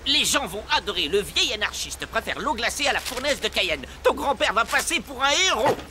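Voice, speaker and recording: An eloquent French cartoon plotter, pitched high with a swooping, lively delivery. The phrasing is flowery and theatrical, over clear background noise. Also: male voice, brisk. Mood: confident. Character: animated, well-spoken conspirator exposing crooked schemes. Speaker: voice actor. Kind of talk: French animated series dialogue.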